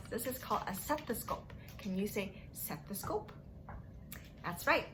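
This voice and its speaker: A young woman with a bright, fairly high voice teaches small children. She speaks slowly in an exaggerated sing-song way, as in story time. It is recorded in a room at home with light background noise. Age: young adult. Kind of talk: children's lesson, asking questions and praising answers.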